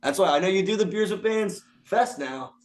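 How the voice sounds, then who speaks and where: A raspy-voiced man from a band, joking and swearing in casual podcast chat, often acting out what people said. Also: high voice, animated, a little fast, crowd noise. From Philadelphia area. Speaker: band member guest.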